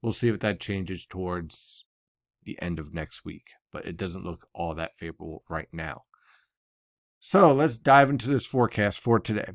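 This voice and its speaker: A man's voice: medium pitch, no room sound, studio quality. An American man explaining a weather forecast in an analytical but conversational way, like a semi-casual briefing.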